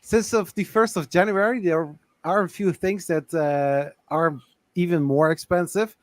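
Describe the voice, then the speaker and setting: Man from the netherlands, english, careful phrasing, higher voice, dry call audio. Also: fairly even intonation, a little slow, no room sound. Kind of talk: casual group chat on a video call.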